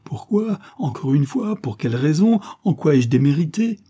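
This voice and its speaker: A man's voice: mid-range voice, moderate pace, dark tone, slightly rough, close to an everyday voice. A man voicing an ardent, boyish young man in love, exclamatory and insistent, in a storybook reading. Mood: anguished insistence.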